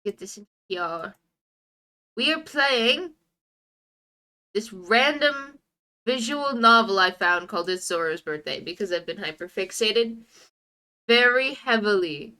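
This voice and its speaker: A woman's voice: mid-range voice, animated, slow, long pauses, sharp tone, low-quality recording. A young woman with a congested nose chatting casually on a game stream, drifting into muttered asides to herself. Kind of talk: casual live stream chat while playing a game.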